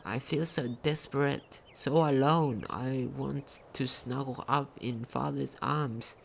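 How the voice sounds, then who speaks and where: A game commentator with a creaky, mid-pitched voice over faint noise. The delivery is casual and unhurried, like someone reading on-screen text aloud, with occasional stumbles. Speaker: gaming video commentator. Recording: home recording with faint noise.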